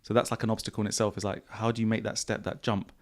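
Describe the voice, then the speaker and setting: A man hosting a podcast in a casual, relaxed way, with a croaky voice and slangy, repetitive phrasing. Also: fairly low pitch, racing. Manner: relaxed, repeats himself, slangy. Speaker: podcast host. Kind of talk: casual podcast chat answering viewer questions. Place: home studio.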